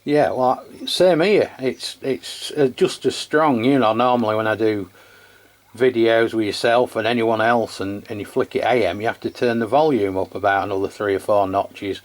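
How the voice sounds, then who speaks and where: A man's voice: fairly low pitch, moderate pace. A man chatting casually as he hosts a hands-on radio equipment test. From England. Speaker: radio channel host testing equipment.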